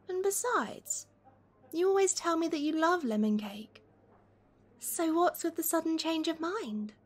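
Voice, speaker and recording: A woman playing a playful, affectionate young best friend in a gentle, teasing ASMR roleplay. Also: high-pitched. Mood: playful disbelief. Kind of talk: gentle ASMR roleplay, one-sided conversation.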